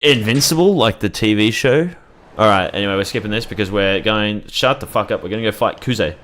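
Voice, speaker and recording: A man's voice: on the low side, brisk, a bit bright, slight background noise. A man giving casual, rambling gameplay commentary as a video creator, irreverent and often swearing.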